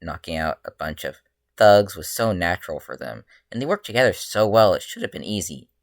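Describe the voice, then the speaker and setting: An author with a low voice narrating a story aloud in an informal live reading. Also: animated, fairly quick, no room sound, studio quality. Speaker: author reading own fan fiction.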